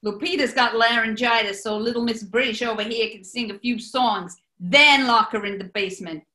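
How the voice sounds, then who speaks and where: A woman's voice: on the high side, moderate pace, pushed and bright. A woman playing a brash, scheming male gangster boss with an American accent in a 1940s-style radio play, wisecracking and bossy. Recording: roomy echo.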